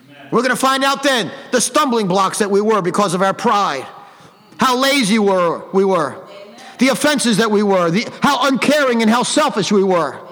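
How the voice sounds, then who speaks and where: Guy preacher w really high voice, stern fiery sermon, hammers phrases over and over, big echoey hall. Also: fairly bright tone. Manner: stern, emphatic, repeats phrases for effect. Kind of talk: fiery old-fashioned sermon. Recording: hall reverb on the voice.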